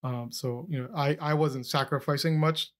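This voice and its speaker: A man from Peru hosting a podcast interview, reflecting casually with halting restarts. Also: a little high, steady tone, a bit bright. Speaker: podcast host. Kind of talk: conversational interview.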